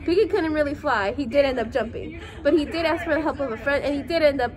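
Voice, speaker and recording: A woman's voice: high voice, fast talker, a crowd in the background. A young woman, a student, reading a picture book aloud to a class in a friendly, teacherly way. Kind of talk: read-aloud with discussion. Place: outdoor schoolyard.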